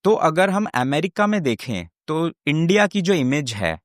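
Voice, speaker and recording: A man's voice: fairly high pitch, big pitch swings, a little slow, no echo, clean studio sound. A man with an Indian accent hosting a casual interview, attentive and deferential, speaking mostly Hindi with some English words. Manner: deferential, attentive, frequent affirmations. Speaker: podcast host.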